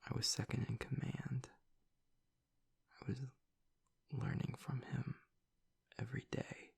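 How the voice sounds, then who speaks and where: A man acts out a young male werewolf opening up in an intimate audio drama, his tough front giving way to grief. His voice is very creaky and breathy, often sinking toward a whisper. He speaks in a narrow, subdued range, hesitating and choked up as he confides. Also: mid-range voice, level tone, a little slow, full character voice. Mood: reverent, sorrowful. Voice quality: breathy, gravelly near-whisper. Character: grieving young male werewolf boyfriend, tough but vulnerable. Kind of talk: intimate audio roleplay monologue.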